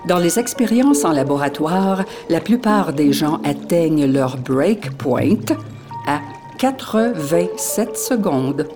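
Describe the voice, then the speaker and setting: A narrator reading a poetic script in a slow, measured, grave way. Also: medium pitch, animated. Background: music.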